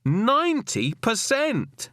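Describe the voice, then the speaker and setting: Man acting as stubborn male detective, defiant, argumentative, audiobook dialogue, lively swings in pitch, dry studio sound. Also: slow, no room sound, heavily acted. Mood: insistent. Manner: insistent, argumentative. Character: defiant, stubborn male police detective arguing his case.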